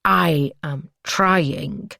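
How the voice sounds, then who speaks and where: A woman voicing an obsessive male captor who speaks in soft, breathy whispers. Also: fairly low pitch, expressive, a little slow, dry recording, studio quality, full character voice. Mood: whispered menace.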